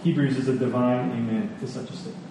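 A man giving a sermon in a conversational way, telling personal stories with emphatic repetition and pauses. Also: medium pitch, steady tone. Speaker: preacher. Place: large reverberant room with an audience. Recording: echoey hall, distant, faint noise.

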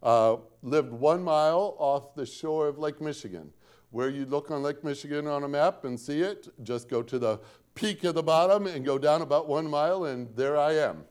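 A man's voice: on the high side, a little mellow, clean tone. A man preaching a sermon with a Northwest Indiana accent, talking in a conversational, folksy storytelling way with dry self-deprecating asides and emphatic repetition of key points. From Northwest Indiana, USA.

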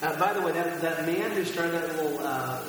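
A man's voice: fairly high pitch, moderate pace. A man hosting a Q&A, chatty and prone to digressive asides as he introduces his guest. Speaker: interviewer, host. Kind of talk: casual chat introducing a guest. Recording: echoey room from far off with loud hum.

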